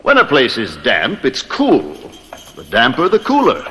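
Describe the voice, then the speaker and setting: A man's voice: medium pitch, huge pitch swings, a little slow, a bit bright. An actor playing a vengeful murderer who savors and repeats his words in theatrical old-time radio horror style, courteous on the surface. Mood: sly courtesy. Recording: noisy vintage radio drama recording.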